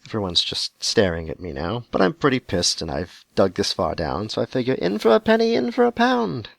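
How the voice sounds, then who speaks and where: A man's voice: on the low side, sing-song, fairly quick, creaky, no echo. A man who hosts a podcast, performing a dramatic spoken-word poem in rhyme with sardonic, emphatic delivery and blunt swearing. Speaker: podcast host. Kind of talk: dramatic beat poetry recitation.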